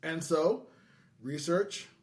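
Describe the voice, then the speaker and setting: A man's voice: fairly high pitch, drawn-out words. American man chatting casually on a podcast. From California, United States. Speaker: podcast host.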